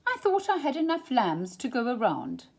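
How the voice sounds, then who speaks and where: A woman's voice: on the high side, wildly swooping pitch, moderate pace, voice pushed up. A woman voicing a polite, fussy male stork in a children's storybook, with a proper, emphatic way of announcing things. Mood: puzzled.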